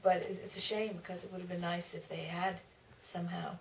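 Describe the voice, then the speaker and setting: Woman, informal panelist, gravelly low voice, self-correcting, off-mic in a theater, faint noise. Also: level tone, fairly quick, recorded from a distance, poor audio. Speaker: author on a Q&A panel. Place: theater stage.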